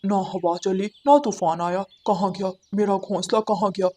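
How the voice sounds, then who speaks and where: A woman's voice: medium pitch, fairly even intonation, measured pace, dark tone, lightly acted. A woman voicing an aggrieved, plaintive male pigeon in a children's cartoon. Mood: bewildered, upset. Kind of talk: Hindi children's cartoon dialogue.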